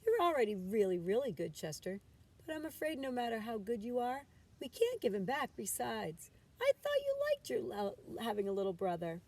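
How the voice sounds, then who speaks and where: Mother raccoon for a picture book, read by a woman: dark-toned, motherly, patient, comforting; recorded from a distance. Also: a little high, big pitch swings, moderate pace. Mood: patient, explaining. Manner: tender, soothing, motherly. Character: gentle, loving mother raccoon, patient and reassuring. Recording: distant mic with background noise.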